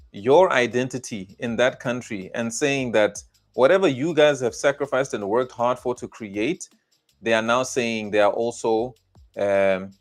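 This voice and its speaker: A South African man delivering heated political commentary, scolding and repeating blunt warnings to his viewers. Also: mid-range voice. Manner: emphatic, scolding, repetitive warnings.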